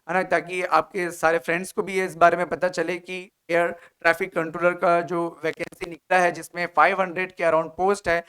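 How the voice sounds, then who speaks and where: A man's voice: high voice, fairly bright tone, clear, resonant tone. An Indian man teaching as an exam coach, explaining in an instructive way and often checking in with short tag questions. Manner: instructive, frequent check-in tags like "okay?" and "right?". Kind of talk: explanatory exam-prep lecture, Hindi mixed with English.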